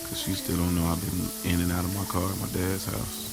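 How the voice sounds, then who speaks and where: A man's voice: sits low, completely flat delivery, fairly quick, gravelly, loud music behind the voice. A man playing a smitten, boastful yet self-questioning guy in an audio drama, telling his story casually and in slang, straight to the listener. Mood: amazed. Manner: casual, slangy, confiding, addresses listeners directly. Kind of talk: first-person audio drama monologue, conversational storytelling.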